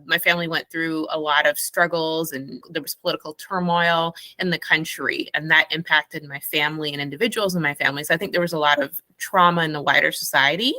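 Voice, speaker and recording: Low-voiced, bright-toned woman psychologist. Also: steady tone, a little fast, few pauses, no room sound. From Guyana. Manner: thoughtful, laughs lightly while telling stories. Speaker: psychologist guest. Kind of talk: conversational interview answers.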